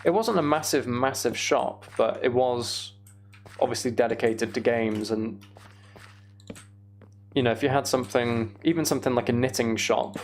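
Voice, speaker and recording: A man's voice: mid-range voice, moderate pace, faint music behind. A British man chatting casually on a livestream while he plays a game, rambling and thinking aloud. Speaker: streamer.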